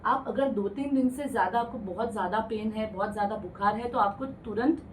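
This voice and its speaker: A woman physician with a fairly high voice speaks calmly and plainly, mixing English medical words into her speech. She sounds a bit distant from the microphone. A faint hiss sits behind her. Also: a little fast. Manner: explains patiently, mixes English medical terms into Hindi. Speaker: ENT doctor guest. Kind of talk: radio health interview, explaining plainly. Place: radio studio.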